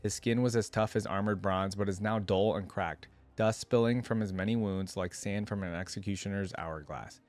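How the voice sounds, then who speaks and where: A man's voice: lowish voice, normal speed, few pauses, a little mellow, soft background music. A man reading a story aloud in a solemn, dramatic way, with casual asides as a reaction host.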